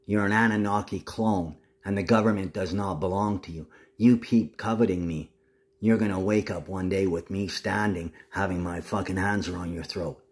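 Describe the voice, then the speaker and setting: A man's voice: sits low, fairly even intonation, moderate pace. A man talking casually to the camera in a rambling, agitated monologue full of swearing.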